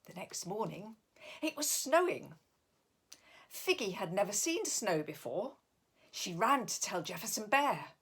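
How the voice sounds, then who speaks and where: Slow, very animated woman reading a storybook. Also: medium pitch, breathy edge. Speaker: author reading own picture book. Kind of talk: expressive storybook narration for young children.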